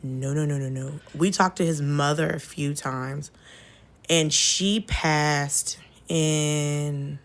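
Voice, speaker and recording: A woman in her thirties with an American accent and a voice a touch lower than most. She tells personal stories as a podcast guest in a loose, candid way, swearing freely and playing out both sides of conversations. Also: fairly even intonation, takes it slowly. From Atlanta, southern United States. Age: 30s. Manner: candid, blunt, swears freely, acts out quoted dialogue. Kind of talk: casual chat, personal storytelling.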